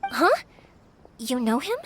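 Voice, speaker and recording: An actress playing a cheerful, kind-hearted young woman who makes fireworks in a video game, with an expressive, casual, friendly delivery. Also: sits high, takes it slowly, slight background noise, exaggerated voice. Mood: hesitant, curious. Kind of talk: animated video game voice acting. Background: background music.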